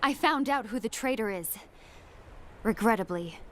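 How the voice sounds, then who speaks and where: An actress playing a stern, righteous guardian in a game cutscene, speaking in a firm, reproachful way. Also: female voice, a little high, expressive, normal speed, fairly bright tone. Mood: grave. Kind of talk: dramatic game cutscene acting.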